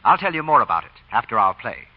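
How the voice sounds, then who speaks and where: Old timey radio announcer guy, emphatic, voice swings up and down, bit husky, muffled recording. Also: medium pitch, very animated, fast. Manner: emphatic, formal enunciation. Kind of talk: 1940s scripted radio announcing and sponsor pitch.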